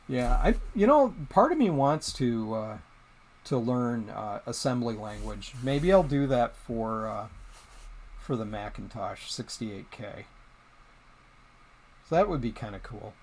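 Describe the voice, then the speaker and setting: A middle-aged man of middling pitch talks casually to a small live-stream audience. He wanders from thought to thought, hesitating often and restarting his sentences. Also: animated, a little slow, darkish tone, poor audio. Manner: rambling, hesitant, many ums and restarts.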